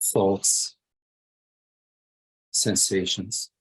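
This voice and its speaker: A man leading a guided meditation as a teacher, speaking in short phrases with long pauses between them. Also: low voice, flat delivery, breathy edge, low-quality recording.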